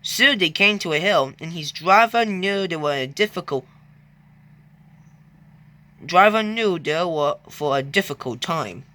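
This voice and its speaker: A storyteller narrating a children's read-along, stumbling and halting over the text. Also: medium pitch, animated, bright, forceful tone.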